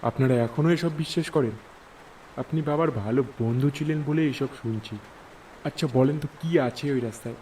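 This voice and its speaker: A man voices a sure-of-himself young fellow in a horror cartoon. His mid-pitched voice is casual and questioning. He is a little away from the mic, with slight noise behind. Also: moderate pace, warm tone, recorded from a distance, natural voice, rough recording. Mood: sceptical, brisk. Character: confident, sceptical young city man.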